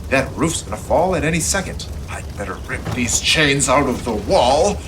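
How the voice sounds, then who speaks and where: An earnest superhero played by a man with old-fashioned exclamations and a declamatory delivery. His mid-pitched voice swings widely, as in a comic radio serial. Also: lively intonation, moderate pace, noisy background, natural voice. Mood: urgent, heroic.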